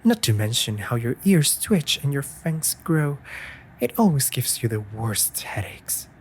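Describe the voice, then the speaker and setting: A man playing a caring, anxious raven familiar in a comforting audio roleplay, articulate and gently scolding in formal phrases. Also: mid-range voice, sing-song, unhurried, slight background noise. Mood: worried.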